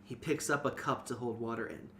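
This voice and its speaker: A man's voice: mid-range voice, fairly even intonation, fairly quick, pushed and bright. A man reading a fantasy novel aloud as narrator, in an informal scripted storytelling style. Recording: noticeable background noise.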